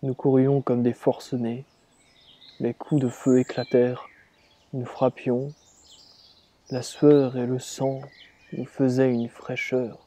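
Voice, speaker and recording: A man reciting a dramatic monologue as a dying rebel slave, in grave, deliberate, measured phrases. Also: medium pitch, level tone, warm tone. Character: dying rebel slave reliving his revolt, grave and defiant. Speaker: reader performing a dramatic monologue. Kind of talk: slow literary dramatic recitation.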